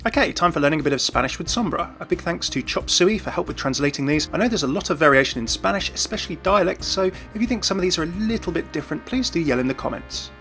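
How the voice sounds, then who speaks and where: Male British gaming host, fairly high voice, rapid enthusiastic voice-over delivery. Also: lively intonation, bright, forceful tone. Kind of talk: enthusiastic video narration and explainer. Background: faint music.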